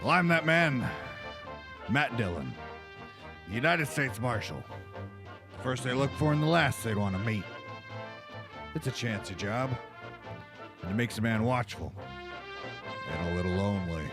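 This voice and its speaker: A student actor playing a stern, watchful Old West marshal in an amateur radio play, terse and world-weary. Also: male voice, mid-range voice, expressive, a little slow, long pauses, over music. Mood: brooding.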